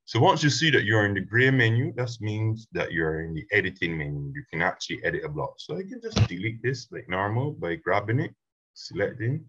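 A man teaching a screen-recorded software tutorial, explaining step by step in a relaxed way with fillers. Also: fairly low pitch, fairly quick, husky, muffled recording. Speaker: instructor.

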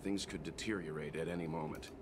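A man voicing a composed, mature gentleman in a video game, speaking in a measured, courteous way. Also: rumbling low, steady tone. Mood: calm, polite. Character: composed, polite mature gentleman, dutiful crewmate. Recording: game audio with clear background noise.

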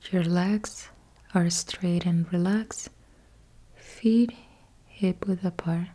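Slow, mellow, hushed woman guiding sleep meditation. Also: on the low side, steady tone. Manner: soothing, hushed, measured short phrases with pauses. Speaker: yoga nidra instructor.

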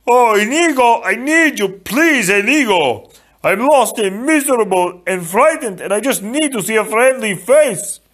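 A simple, anxious gentle giant, as a male narrator puts him on. The voice is pushed high and rambles along with naive, pleading earnestness. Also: expressive, unhurried, exaggerated voice. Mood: pleading, lost.

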